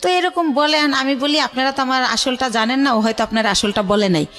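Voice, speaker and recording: A woman's voice: sits high, a little fast, a bit bright. A middle-aged woman with a colloquial Bangladeshi accent tells a personal story in a radio interview, conversationally, voicing reported dialogue as she goes. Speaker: guest telling her life story.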